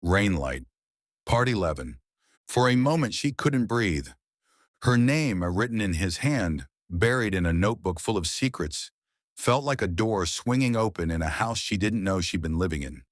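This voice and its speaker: A man reading a noir thriller audiobook in a measured, brooding style. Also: on the low side, lively intonation, no echo, studio quality. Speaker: audiobook narrator. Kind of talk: scripted audiobook narration.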